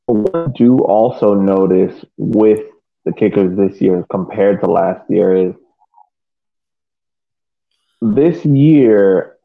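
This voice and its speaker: Male podcast co-host, lower-pitched, phone-quality audio, casual soccer analysis with halting phrasing. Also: creaky, faint music behind. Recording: narrow phone-like sound.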